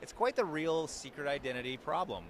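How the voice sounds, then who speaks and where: Loud easygoing young man cosplayer. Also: on the high side, expressive, brisk, a little hiss or hum. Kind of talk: convention interview. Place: outdoors at a busy convention.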